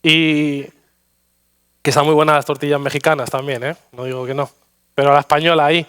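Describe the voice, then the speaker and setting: Hombre madrileño predicando, voz clara y aguda. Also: male voice, fairly high pitch, level tone, brisk, smooth. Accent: Madrid Spanish. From Spain. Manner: warm storytelling, self-deprecating humor. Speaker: guest preacher. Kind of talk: anecdotal sermon with prayer. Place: church sanctuary.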